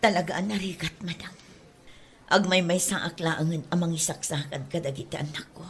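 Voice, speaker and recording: A woman playing a humble, weary, devoted mother in a radio drama, speaking earnestly and plaintively. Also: deep voice. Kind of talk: radio drama acting in Ilocano.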